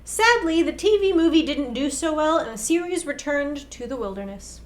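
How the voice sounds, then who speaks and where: High-pitched young woman presenting. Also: lively intonation, normal speed. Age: young adult. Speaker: co-host. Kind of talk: scripted explainer presentation to camera. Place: small indoor room set with whiteboard.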